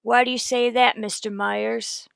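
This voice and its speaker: A woman's voice: fairly high pitch, little pitch movement, measured pace, vocal fry, a bit bright, clean tone, no echo, clean studio sound, full character voice. A woman playing a terse, businesslike police detective in a radio crime drama, clipped and matter-of-fact. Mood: questioning. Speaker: narrator and lead actor. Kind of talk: old-time radio crime drama acting.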